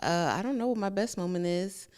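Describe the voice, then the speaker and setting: A woman's voice: medium pitch, a little fast, a little mellow. A young woman talking casually and a little hesitantly on a podcast. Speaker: podcast guest.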